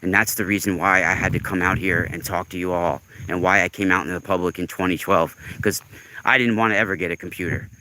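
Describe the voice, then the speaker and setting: A man's voice: sits low, fairly even intonation, fast, sharp tone, low-quality recording. A man in his forties hosting a casual livestream monologue, talking in an earnest, emphatic, rambling way. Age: 40s. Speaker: livestream host.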